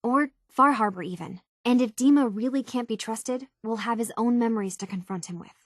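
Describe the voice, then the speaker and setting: Young female runaway played by an actress, game dialogue: earnest, anxious, curious, thoughtful; slightly high pitch, dry studio sound. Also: brisk, dry recording, close to an everyday voice. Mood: thoughtful, concerned. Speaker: video game voice actor. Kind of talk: naturalistic video game dialogue.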